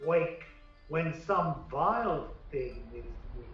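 An actor playing a spiteful fairy king, deliberately chanting a rhymed love spell in a radio play. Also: a little high, animated. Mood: sinister, spellcasting. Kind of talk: verse radio play incantation. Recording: echoing, distant, muffled, music underneath.

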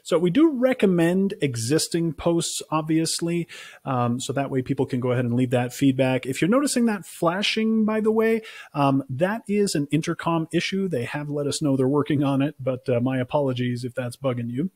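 Guy in his 30s doing a casual software demo, kinda husky, dry close sound. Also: on the high side, lively intonation, normal speed, no echo. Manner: informal, conversational explaining. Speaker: customer success presenter.

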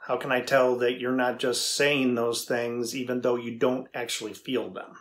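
A middle-aged man playing a thoughtful AI researcher in a dramatized interview, deliberate and conversational as he probes. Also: medium pitch, steady tone, natural voice. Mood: probing, thoughtful. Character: thoughtful male AI researcher questioning a machine. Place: indoor room with backdrop, seated.

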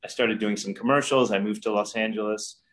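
A middle-aged man speaks in a lowish, unhurried voice, reflecting earnestly and often restarting his sentences. He is talking from a room at home over a webcam call. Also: steady tone, a bit bright. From New York. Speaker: guest director. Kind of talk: casual interview. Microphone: video call webcam.

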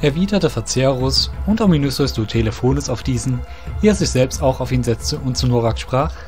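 A man's voice: mid-range voice, expressive, normal speed, a little mellow, faint music behind. A man narrating a scripted fantasy audio drama in a literary storytelling manner.

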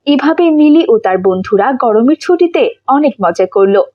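A woman's voice: a little high, lively intonation, moderate pace, mellow, highs cut off. A woman narrator reading scripted storytelling for a children's cartoon.

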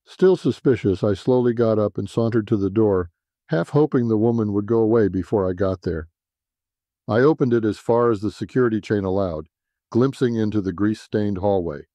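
Guy narrating noir sci-fi audio story, lowish mellow voice, dry close recording. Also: normal speed, no room sound. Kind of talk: scripted first-person noir story narration.

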